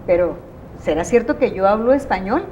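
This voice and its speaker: A woman's voice: mid-range voice, expressive, normal speed, a little mellow, slight background noise, rough recording. A woman with a Mexican Spanish accent hosting an educational TV interview, asking long leading questions in a conversational way. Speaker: TV show host.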